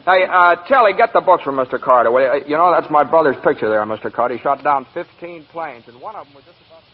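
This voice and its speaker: An actor playing an earnest, excitable small-town husband in a radio drama, halting and emotional. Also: male voice, fairly high pitch, lightly acted. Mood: proud, excited. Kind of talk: classic radio drama acting. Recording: dull, faintly noisy radio recording.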